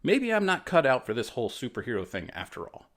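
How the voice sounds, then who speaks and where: Young storybook sidekick hero, played by a male reader: eager, earnest, unsure of himself, lively pitch. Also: quick pace. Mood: self-doubting. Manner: earnest, youthful. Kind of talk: children's storybook character voice.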